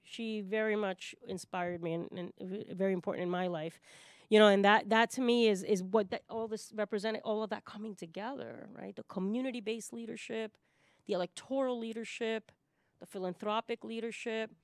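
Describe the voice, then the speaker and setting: A woman's voice: medium pitch, fairly even intonation, moderate pace, clear, resonant tone, no room sound. Emphatic woman from Puerto Rico, dry sound. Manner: emphatic, often checks in with "right?". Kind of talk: panel discussion, impassioned unscripted talk.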